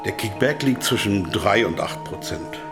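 Actor as captive corporate manager, lowish male voice, businesslike, uneasy, audio drama, music underneath. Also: animated, moderate pace, close to an everyday voice. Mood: smug, factual. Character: captive pharma manager, matter-of-fact, uneasy under questioning. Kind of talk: scripted audio drama dialogue.

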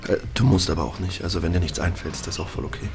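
A man's voice: deep voice, little pitch movement, rapid-fire, creaky, over music. An actor playing a curt, evasive middle-aged ex-military man in an audio drama. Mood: dry, controlled. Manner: curt, dry, dismissive. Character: curt, controlling ex-military family friend, evasive. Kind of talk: naturalistic audio drama acting.

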